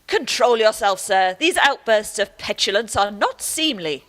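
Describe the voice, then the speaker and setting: A woman's voice: medium pitch, expressive, measured pace, fairly bright tone. A woman acting a stern, superior archbishop in a radio play, with a haughty, lecturing manner. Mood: disdainful. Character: stern, pious, superior archbishop, scolding and dignified. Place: actor's home, recorded remotely.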